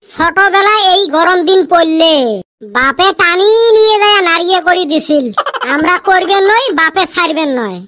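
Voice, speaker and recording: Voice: extremely high pitch, normal speed, sharp tone, no room sound. A performer voicing a mischievous village kid in a comedy cartoon, complaining and exclaiming with a northern Bengali accent. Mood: relieved, chatty. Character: mischievous village kid grumbling about the heat.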